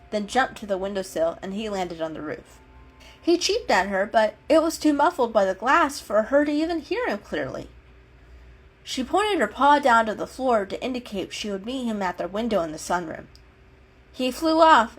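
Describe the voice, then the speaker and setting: A woman storyteller giving an expressive, unhurried dramatic reading of an animal story. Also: mid-range voice, faint music behind, poor audio.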